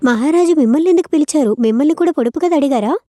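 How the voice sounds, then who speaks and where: A woman voicing Janvi, a worried, eager young wife in an animated storybook. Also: high-pitched, very fast, soft background music.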